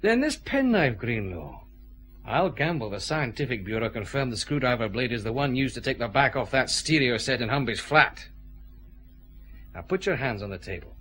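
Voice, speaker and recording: A shrewd, relentless Scottish police chief inspector in a radio detective drama. He interrogates in measured, deliberate steps, with a widely varied pitch that lands hard on each accusation. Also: big pitch swings, fairly bright tone, a little hiss or hum. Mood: methodical, accusing.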